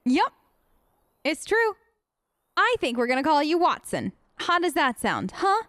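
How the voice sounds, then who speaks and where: A woman's voice: high voice, big pitch swings, a little slow, a bit bright, dry recording, studio quality. A woman playing a video game and chatting casually in character, in a gushing, playful manner. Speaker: let's play host, role-playing her character.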